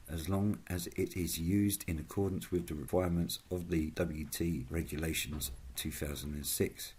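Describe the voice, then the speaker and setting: A man with a husky voice reading a scripted narration as a channel host, in a formal, measured manner. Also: deep voice, darkish tone.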